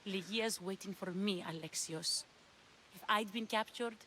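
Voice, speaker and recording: A woman's voice: medium pitch, a little slow, pushed and bright, a little hiss or hum, rough recording. An actress playing a lovesick, desperate woman of ancient Greece in a video game, with an earnest, pleading delivery. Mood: yearning, urgent. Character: lovesick, desperate ancient Greek woman seeking her lover. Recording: music behind the dialogue.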